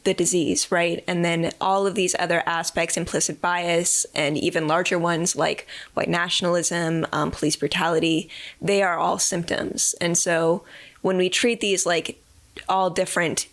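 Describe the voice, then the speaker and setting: Female guest, lower voice, thoughtful, reaches for analogies to make her point. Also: normal speed. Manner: explains with analogies, relaxed and thoughtful. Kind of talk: conversational interview.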